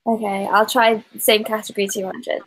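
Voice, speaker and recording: A woman's voice: mid-range voice, little pitch movement, moderate pace, a bit bright, low-quality recording. A woman giving casual, tentative answers as a quiz contestant.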